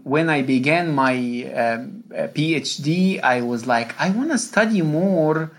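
A man from Egypt, a professor, answering interview questions in a measured way, with many fillers and restarts. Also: medium pitch. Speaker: guest, professor of Islamic studies.

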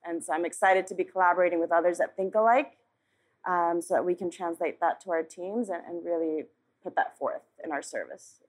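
A woman on a live panel speaks in a lowish voice. She is measured and steady, with frequent "um"s. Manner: measured, with "um" fillers.

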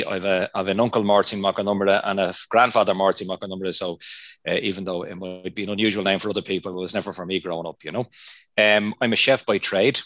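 Deep-voiced Dublin Irish man, middle-aged. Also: no echo. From Ireland. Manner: relaxed, rambling, many "uh" fillers. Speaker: chef and culinary lecturer. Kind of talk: interview answers, storytelling.

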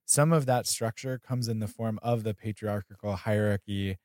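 A man hosting a casual podcast interview, speaking haltingly and repeating words as he thinks. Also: fairly low pitch, fairly even intonation, a little mellow, no room sound, clean studio sound.

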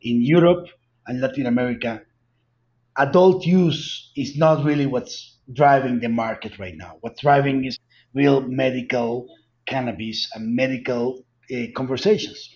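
A man's voice: medium pitch, measured pace, gravelly, a little mellow, lo-fi sound. A man from Colombia with a Colombian Spanish accent, answering interview questions earnestly and emphatically, often repeating words. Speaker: guest, cannabis company founder.

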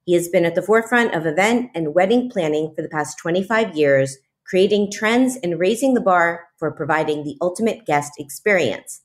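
Low-pitched woman hosting a podcast. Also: animated, moderate pace, hardly any pauses. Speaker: podcast host. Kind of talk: scripted intro and interview hosting.

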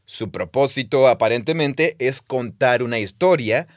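A man's voice: medium pitch, moderate pace, no echo, clean studio sound. A man co-hosting a conversational podcast, explaining Bible stories step by step with playful quiz asides. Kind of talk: casual teaching conversation.

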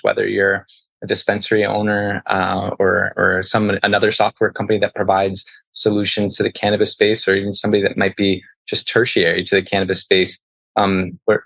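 Gravelly low-voiced male executive guest. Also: fairly bright tone, dry recording, low-quality recording. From northeastern United States. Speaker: company chief executive, interview guest. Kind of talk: measured interview answers.

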